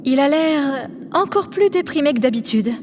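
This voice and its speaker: A modest woman engineer on a starship, played by an actress in an audio drama. She is polite and dutiful, with a reserved manner and a fairly high voice. Clear noise runs behind her. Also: animated, moderate pace. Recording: clearly noisy background.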